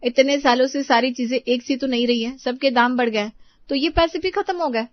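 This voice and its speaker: A woman's voice: high voice, a little fast, dry recording, dull audio, close to an everyday voice. A woman playing a dutiful young Indian housewife who frets over household money in an acted family story. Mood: aggrieved.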